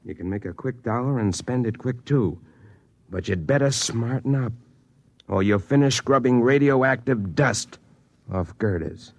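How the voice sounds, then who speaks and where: An actor playing a gruff, world-weary older hiring boss, cynical and patronizing, with streetwise, lecturing delivery in old radio drama style. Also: male voice, medium pitch, a little slow, no echo, lo-fi sound. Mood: fatherly warning. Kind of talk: 1950s science-fiction radio drama acting.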